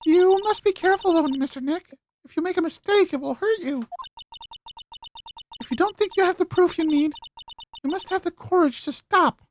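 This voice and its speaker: A man voicing a polite, earnest little girl spirit medium for a video game, speaking in a refined, halting way. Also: very high-pitched, faint music behind. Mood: solemn, instructive. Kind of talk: video game dialogue voice-over.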